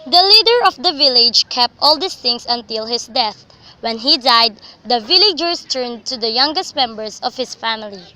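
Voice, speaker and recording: A woman's voice: fairly high pitch, animated, normal speed, sharp tone, a little hiss or hum, low-quality recording. A woman reading scripted literary narration for a student radio drama, in a dramatic storytelling manner.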